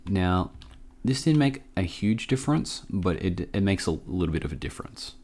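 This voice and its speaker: A man hosting a casual software tutorial, informal and a bit hesitant as he thinks aloud. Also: deep voice, animated, moderate pace. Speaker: tutorial host.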